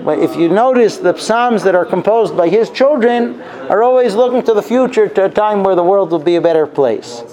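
High-pitched, mellow man teaching a class. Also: lively intonation, low-quality recording. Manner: deliberate, explanatory, repeats and translates Hebrew phrases. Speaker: religious teacher giving a class. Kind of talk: Torah class lecture with storytelling.

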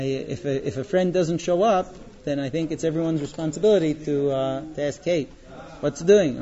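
A thirtyish male teacher with a soft, mellow voice, pausing often as he addresses his students in class, caught on a dull recording. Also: a little high. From born in Israel, later moved to the US. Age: 30s. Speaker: yeshiva teacher. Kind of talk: informal classroom talk. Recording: dull, lo-fi sound.

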